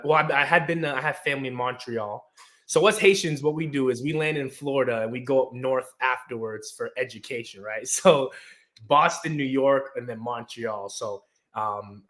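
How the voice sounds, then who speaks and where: Bright-voiced young man, easygoing guest. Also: mid-range voice. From United States. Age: 20s. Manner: easygoing, laughs often, many ums. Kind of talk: conversational storytelling.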